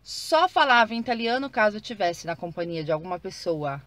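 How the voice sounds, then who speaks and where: A woman's voice: mid-range voice, expressive, brisk, fairly bright tone. Woman, Brazilian Portuguese accent, casual vlog chat, restarts often, in a car. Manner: chatty, many fillers and self-restarts. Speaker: vlogger. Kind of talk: casual vlog talk to viewers. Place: car while driving.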